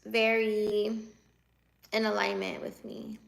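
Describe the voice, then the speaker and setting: A woman's voice: medium pitch, little pitch movement, takes it slowly, gravelly, poor audio. A woman in her twenties chatting on a livestream, teasing and laughing as she answers viewer comments aloud. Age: 20s. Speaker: livestreamer reading chat. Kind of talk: casual live chat.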